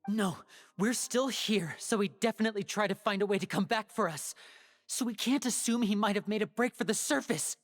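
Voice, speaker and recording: A woman's voice: mid-range voice, a little fast, lightly acted. A woman playing a guarded, defiant young male magician in a video game, pointed and challenging. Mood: alarmed, defiant.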